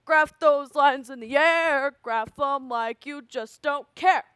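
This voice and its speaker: A young woman playing an animated, anxious school student, telling a story emphatically with dramatic pauses in a theatrical monologue. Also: high voice, dry recording. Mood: animated exasperation.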